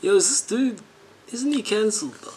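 A man's voice: high-pitched, expressive, moderate pace, dark tone, a little hiss or hum, low-quality recording. A young man from South Africa giving casual, slangy reaction commentary as a video creator. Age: young adult.

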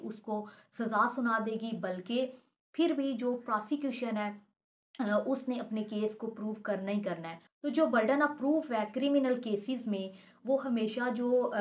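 A woman's voice: a little high, a little mellow. A young woman with a Pakistani accent explaining law in an instructional way, mixing in English terms. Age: young adult. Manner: instructional, mixes English legal terms into speech. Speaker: advocate teaching law. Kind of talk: explanatory law lecture with examples. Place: small furnished room indoors.

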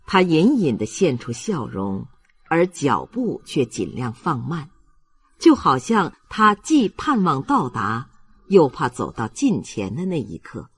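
A man narrating a literary novel as an expressive audiobook reader. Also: a little high, unhurried, husky.

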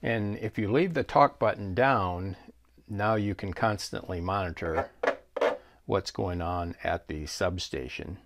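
Low, gravelly man demonstrating a product. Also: unhurried, fairly bright tone. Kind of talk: casual product demonstration, explanatory.

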